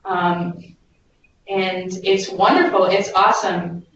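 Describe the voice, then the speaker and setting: A woman's voice: on the low side, fairly even intonation, takes it slowly, fairly bright tone. A young American woman, a volunteer, explaining everyday life abroad casually on a group call. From United States. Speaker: Peace Corps volunteer. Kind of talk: casual informational group talk. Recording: distant, echoey, dull, hissy conference call.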